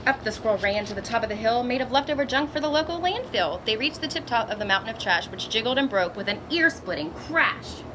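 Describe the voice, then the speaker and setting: A woman with a fairly high voice reads a children's story aloud. She is expressive and acts out exclamations as she goes, pausing for asides to her young listeners. Clear background noise runs under the homemade recording. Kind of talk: picture-book read-aloud with asides to children.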